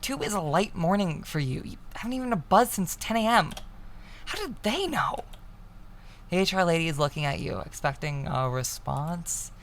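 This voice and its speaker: Woman, low creaky voice, dramatic story reading, hushed breathy endings, faint background noise. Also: lively intonation, normal speed. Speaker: streamer reading a visual novel aloud. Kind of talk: dramatic second-person story narration.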